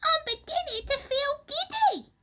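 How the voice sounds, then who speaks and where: A nasty, excitable wife from a children's story. She is shrill and sharp, far above an everyday speaking pitch. Her delivery is loud, dramatic and screechy. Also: a little slow, a little hiss or hum, full character voice. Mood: panicked. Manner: screaming, scornful, dramatic.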